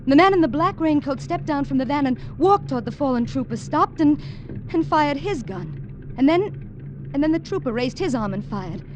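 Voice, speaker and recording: A woman's voice: sits high, expressive, moderate pace, highs cut off, a little hiss or hum. An actress playing a frightened young woman who narrates her ordeal and stammers in a 1950s radio drama. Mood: breathless. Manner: breathless, stammering, tense. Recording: old radio drama with music underneath.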